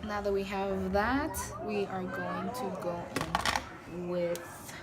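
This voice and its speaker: A young American woman with a voice hoarse from being sick, chatting through a casual makeup tutorial in a low-energy, hesitant way. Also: flat delivery, slow pace. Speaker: makeup vlogger. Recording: very noisy room with background chatter. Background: people and children talking.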